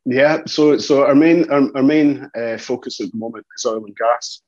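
Scottish guy talking business on a call, bit raspy, muffled roomy sound, says you know a lot. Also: medium pitch, moderate pace, darkish tone. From Scotland. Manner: repeats "you know" and "as well" as verbal tics. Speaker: company executive being interviewed. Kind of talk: informal business interview. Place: office, on a video call.

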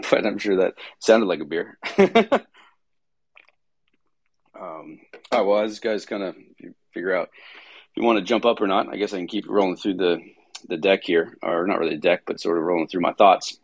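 Male host, very low husky voice, tired and rambling, casual delivery. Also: moderate pace, long pauses, no room sound. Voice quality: raspy. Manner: rambling, heavy with fillers and restarts. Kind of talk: casual market-review livestream chat.

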